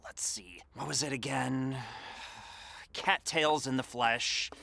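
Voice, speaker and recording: Deadpan female aide, sly, put-upon, bright crisp edge, deliberate, comedic audio drama. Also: very deep voice, heavily acted. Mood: sly, mocking. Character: dry, put-upon female mayoral aide, secretly scheming.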